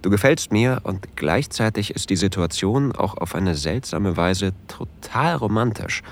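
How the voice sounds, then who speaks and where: An actor playing an introverted, easily flustered train traveler who tells of a romantic encounter in an intimate audio drama. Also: male voice, lowish voice, expressive, unhurried. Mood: intimate, longing.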